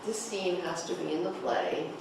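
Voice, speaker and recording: Discussion leader, mid-pitched, probing and halting; noisy, strongly reverberant room recording. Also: animated, gravelly. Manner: probing, halting, thinking aloud. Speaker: discussion leader, director of a play reading. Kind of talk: leading group discussion, asking questions. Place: echoey room, group table read. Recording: roomy, echoey, noisy distant mic.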